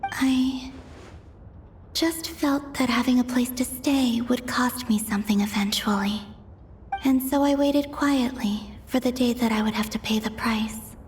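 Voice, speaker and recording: A woman voicing a gentle, wistful, ethereal young maiden in a game, in a soft, breathy near-whisper. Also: fairly high pitch, measured pace, faint music behind, close to an everyday voice. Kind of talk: dramatic game voice acting.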